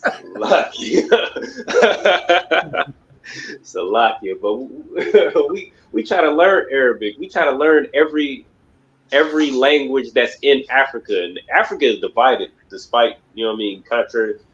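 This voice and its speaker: A man's voice: medium pitch, expressive, unhurried, a bit bright, highs cut off. A man leading a religious class lecture, talking emphatically and rambling, with constant repeated fillers. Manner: rambling, emphatic, repeats "you know what I mean". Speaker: class instructor.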